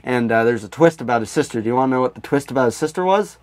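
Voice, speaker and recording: A man's voice: mid-range voice, lively intonation, rapid-fire, no room sound. A man co-hosting a podcast, chatting casually with a sarcastic, irreverent comedic streak. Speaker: podcast co-host.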